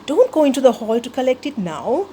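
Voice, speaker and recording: A small, frightened young mouse talks quickly and pleadingly, its voice pitched a little higher and bouncing up and down, as a woman puts it on while reading a storybook. The speech is slow-to-quick and anxious, with faint room ambience behind it. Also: very animated, dark tone, slight background noise. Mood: urgent. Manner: urgent, pleading. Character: young anxious mouse child, first-person hero.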